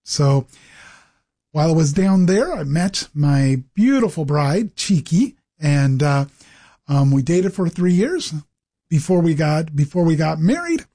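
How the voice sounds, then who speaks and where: A man's voice: on the high side, sing-song, unhurried, mellow. A middle-aged man from the Pacific Northwest with an American accent, telling his own story conversationally as an interview guest. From Pacific Northwest, United States. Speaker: interview guest, ministry executive director.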